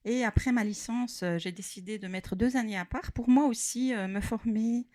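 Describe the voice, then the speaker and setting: A woman, the school's academic secretary, talking in a measured, friendly way in a studio. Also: a little high, warm tone. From Belgium. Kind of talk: podcast guest chat.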